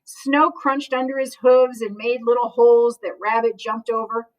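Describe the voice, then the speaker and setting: High-voiced woman reading a children's story, distant mic. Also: fairly even intonation, moderate pace. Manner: casual asides to listeners. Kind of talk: read-aloud storytelling for children.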